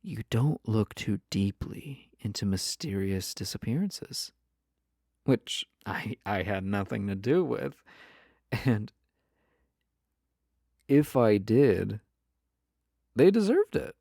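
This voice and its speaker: Performer playing a teasing yandere spouse, swooping intonation, dry close sound, coy, possessive, breathy, intimate audio roleplay. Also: medium pitch, animated, takes it slowly, pauses often, warm tone, no echo, heavily acted. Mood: playfully sly. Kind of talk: intimate roleplay audio drama, spoken to listener.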